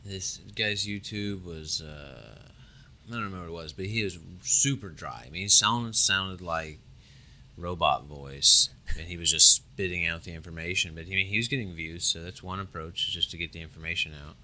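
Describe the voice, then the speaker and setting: Middle-aged male professor, deep and mumbly, bright timbre, halting delivery. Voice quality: low, mumbling voice. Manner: halting, restarts and trails off. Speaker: engineering professor, guest. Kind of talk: casual conversation. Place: university lab or office. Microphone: shared podcast mic.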